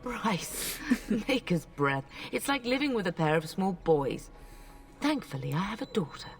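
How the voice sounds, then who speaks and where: Fantasy game role: middle-aged lady of the house, wry, affectionate, animated intonation, faint music behind. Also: medium pitch, unhurried. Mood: wry exasperation.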